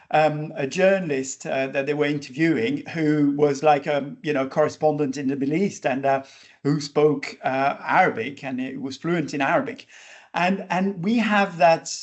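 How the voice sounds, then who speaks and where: A man hosting an interview podcast, talking casually with many fillers and restarts. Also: fairly high pitch. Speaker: podcast host.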